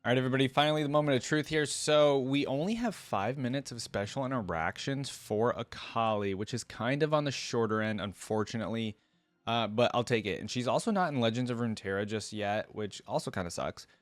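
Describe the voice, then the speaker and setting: A man's voice: mid-range voice, brisk, no echo. A man giving casual reaction commentary, musing aloud with curiosity as host.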